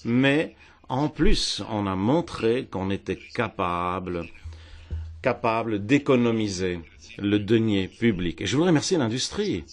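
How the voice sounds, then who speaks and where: A man with a fairly low voice interprets a speech in real time. His delivery comes in halting bursts, and he repeats phrases as he catches up with the speaker. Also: expressive. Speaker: simultaneous interpreter. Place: parliament hemicycle.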